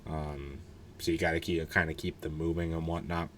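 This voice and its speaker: A hoarse young man casually reviewing a roller coaster, rambling with many fillers and blunt, candid asides. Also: a bass voice, level tone, moderate pace, a bit bright, a little hiss or hum.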